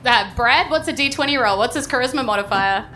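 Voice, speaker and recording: A woman's voice: fairly high pitch, lively intonation, a little fast. A woman running a live tabletop game as game master, bantering playfully. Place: live on stage in a club. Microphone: stage microphone. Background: music.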